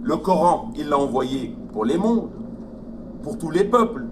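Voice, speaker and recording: A man's voice: on the high side, sing-song, measured pace, darkish tone. A man explaining his view in a casual, hesitant way with many fillers. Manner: conversational, hesitant, lots of fillers. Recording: distant mic with ambient noise.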